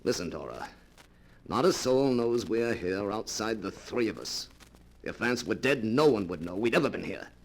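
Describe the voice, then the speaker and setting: An actor playing a cold-blooded, scheming fugitive husband, bossy and hard-boiled, in old-time radio drama style. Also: male voice, lowish voice, animated, normal speed. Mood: cold, persuasive. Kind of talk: golden age radio drama acting.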